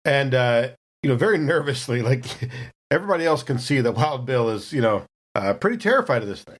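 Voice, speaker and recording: A man's voice: medium pitch, big pitch swings, brisk. Man narrating his game character. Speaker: player in a tabletop role-playing game.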